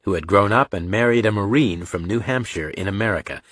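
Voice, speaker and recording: A man's voice: lowish voice, brisk, a bit bright, no room sound. A man reading a scripted story as an audiobook narrator, close on the microphone.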